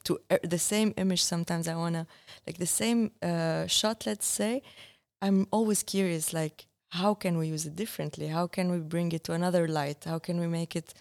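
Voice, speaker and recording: A young woman whose voice sits a little low. She talks casually as a guest filmmaker, restarting sentences and hunting for the right word as she goes. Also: fairly even intonation, moderate pace, gravelly, darkish tone. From Syria. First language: Arabic. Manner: searching for words, many restarts. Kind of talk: casual conversation.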